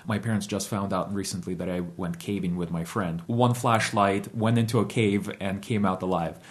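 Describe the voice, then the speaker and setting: Low-voiced man reflecting in an interview. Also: normal speed. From Ukraine. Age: 30s. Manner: reflective, steady, with frequent ums and uhs. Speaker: guest. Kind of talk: interview storytelling.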